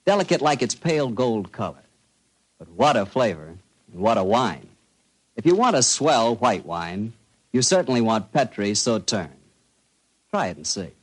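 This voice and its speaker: An American man announcing on 1940s style radio, chatting in a folksy, emphatic way as a sponsor's pitchman. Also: sing-song, normal speed, long pauses, husky, rough recording.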